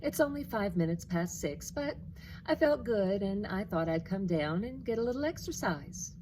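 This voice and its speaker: A woman's voice: medium pitch, animated, moderate pace, noisy background, lightly acted. A woman voicing a small, proud, eager young male character from a children's storybook, earnest and boastful. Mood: cheerful.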